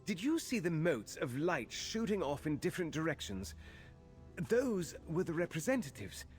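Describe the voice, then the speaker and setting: High-voiced, flustered mage. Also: male voice, big pitch swings, normal speed, soft background music. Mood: worried explaining. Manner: fretful, earnest. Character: anxious, flustered male mage's assistant. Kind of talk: scripted game character dialogue.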